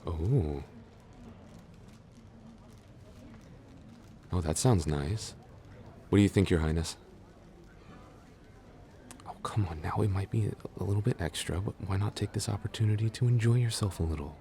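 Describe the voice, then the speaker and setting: A man playing a devoted, easily flustered young knight in an intimate roleplay audio drama, speaking politely and haltingly with soft attentiveness. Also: deep voice, a little hiss or hum. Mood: gently coaxing.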